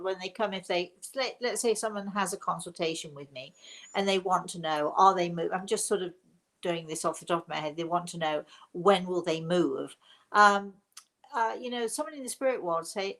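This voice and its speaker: Older woman, halting live-stream host. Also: medium pitch, fairly bright tone. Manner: halting, often repeats words and restarts. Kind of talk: casual live-stream talk answering viewer comments.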